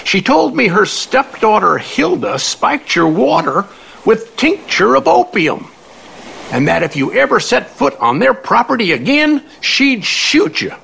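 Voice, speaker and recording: A man's voice: extremely high pitch, sing-song, creaky, a bit bright, a little hiss or hum, full character voice, rough recording. A man acting a wry, elderly, folksy confidant who speaks in aphorisms in an audio play. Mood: concerned. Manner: folksy, slow, quoting aphorisms.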